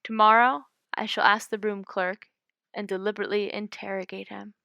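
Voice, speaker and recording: A woman reading a scary short story aloud in a measured, dramatic way. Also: medium pitch, flat delivery, gravelly, pushed and bright, smooth, no room sound, highs cut off. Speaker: audiobook reader. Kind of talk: scripted story narration.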